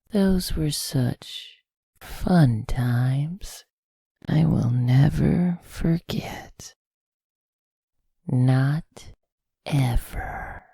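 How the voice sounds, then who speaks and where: Comic-dub villain: creepy gloating murderer, gravelly half-whisper, extremely slow, falsely sweet. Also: male voice, medium pitch, mellow, dry recording, clean studio sound, exaggerated voice. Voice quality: breathy, gravelly, often whispering. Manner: slow, menacing, drawn-out. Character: creepy male child killer gloating over freedom, falsely gentle.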